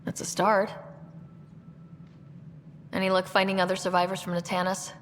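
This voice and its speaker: An actress playing a confident young expedition leader in a video game, decisive and wry. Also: female voice, medium pitch, monotone, normal speed, noisy background, lightly acted. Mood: supportive.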